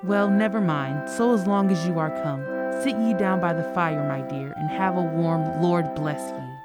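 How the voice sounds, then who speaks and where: A woman's voice: lowish voice, moderate pace, close to an everyday voice. A woman playing a fond, fussing working-class mother in a radio play. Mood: fond, brisk. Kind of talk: old-time radio play acting. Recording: music behind.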